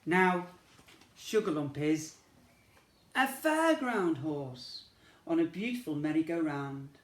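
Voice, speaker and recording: A male teacher reads a picture book aloud to young children. His pace is slow and gentle, and he breaks off now and then for asides to his listeners. The recording has a roomy, echoey sound. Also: a little high, expressive.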